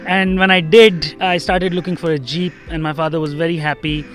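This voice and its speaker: A young man from Pakistan, answering an interview in a relaxed way with some fillers. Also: fairly high pitch, fairly quick. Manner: relaxed, uh and um fillers, laughs lightly. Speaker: guest. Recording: faint music underneath.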